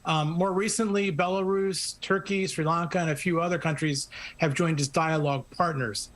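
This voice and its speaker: A man with a slightly high voice explains world affairs as a guest on a news programme. He speaks in a measured, explanatory way. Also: fairly even intonation, fairly bright tone, slight background noise. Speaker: guest analyst. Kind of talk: news interview commentary.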